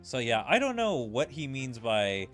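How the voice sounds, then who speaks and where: A man's voice: mid-range voice, big pitch swings, unhurried, a bit bright. A man giving casual, rambling gameplay commentary as a streamer, speculating as he goes with plenty of filler words. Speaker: video game streamer. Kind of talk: casual gameplay commentary with dialogue read aloud. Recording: game music behind the voice. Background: video game music.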